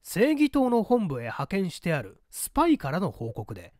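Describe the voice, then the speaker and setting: A man voicing a pompous, brisk older government chief and bluff father with old-fashioned gentlemanly speech in an audiobook story. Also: high voice, wildly swooping pitch, no room sound, clean studio sound, pitched above the performer's own voice. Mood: scoffing, incredulous.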